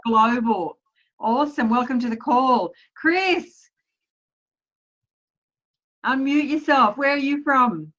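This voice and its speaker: A woman's voice: sits high, expressive, a bit bright. Upbeat woman hosting a video call. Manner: upbeat, quick to praise each guest. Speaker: host of a team video call. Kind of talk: casual group call hosting. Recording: muffled video call audio.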